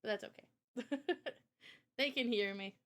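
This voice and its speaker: A woman's voice: on the high side, measured pace, a bit bright. A woman chatting casually on a livestream Q&A in a giggly, informal way, as the host. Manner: giggly, laughs often while talking. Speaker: host and visual novel developer.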